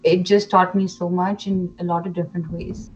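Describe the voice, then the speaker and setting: A woman's voice: on the low side, level tone, normal speed, a little mellow, highs cut off, slight background noise. A woman in her twenties who grew up in India, talking in a chatty, enthusiastic way with many fillers and restarts as an interview guest. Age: 20s. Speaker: pageant titleholder guest. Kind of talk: casual interview.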